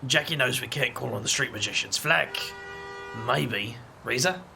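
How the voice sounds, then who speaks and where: A man playing a casual, loyal ally of supernatural outcasts in an audio drama, conversational and a little hesitant, with a creaky voice. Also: medium pitch, normal speed, over music. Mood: uneasy.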